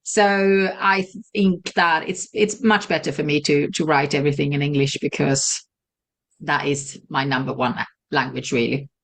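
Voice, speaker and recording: A woman with a southern Swedish accent, speaking English as a guest in a casual interview, friendly and quick to laugh. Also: low voice, fairly even intonation, vocal fry. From Sweden. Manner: friendly, laughs easily, fillers. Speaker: guest, virtual assistant.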